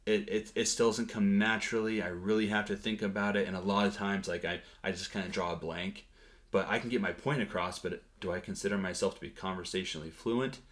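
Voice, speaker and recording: A man's voice: deep voice, fairly bright tone. A man giving a casual vlog update on his language learning, talking hesitantly with frequent fillers and restarts.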